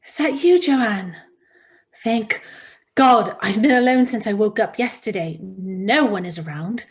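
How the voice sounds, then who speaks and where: A woman's voice: mid-range voice, a little slow, close to an everyday voice. A woman playing a panicked, anxious woman fending off a monstrous attacker in a screenplay table read, stammering and pleading. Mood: nervous, relieved.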